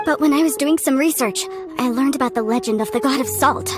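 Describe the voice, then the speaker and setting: Woman acting studious game character, somewhat high pitch, courteous, careful, earnest, music in the background. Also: fast talker, close to an everyday voice. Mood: earnest, eager. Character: polite, earnest woman scholar of archaeology. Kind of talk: scripted video game dialogue. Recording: music under the voice.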